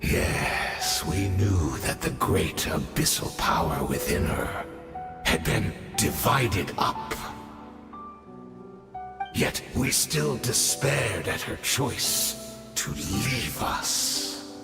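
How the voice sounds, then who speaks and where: A man playing a weary, immortal old swordsman in a video game, speaking in a measured, wry and courteous way. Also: low voice, lively intonation, full character voice. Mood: solemn, earnest. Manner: measured, wry, conversational taunting with rhetorical questions. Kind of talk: dramatic video game voice acting. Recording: long cavernous reverb, music behind.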